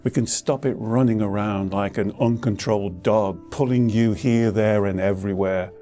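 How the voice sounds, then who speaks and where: A man speaking as a meditation teacher, giving gentle guided instruction in an unhurried, reassuring manner with pauses between short phrases. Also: on the low side, fairly even intonation. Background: soft instrumental music.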